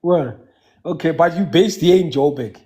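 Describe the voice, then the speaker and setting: A South African man whose first language is Xhosa, calling in to a casual live chat and talking haltingly, with many restarts and fillers. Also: on the high side, warm tone. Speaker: caller.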